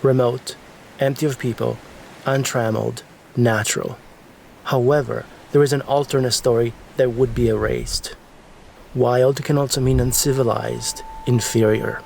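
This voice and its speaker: A man's voice: mid-range voice, steady tone, unhurried, gravelly, a little mellow, slight background noise, rough recording. A man reading scripted documentary narration as the film's narrator. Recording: music behind the voice. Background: background music.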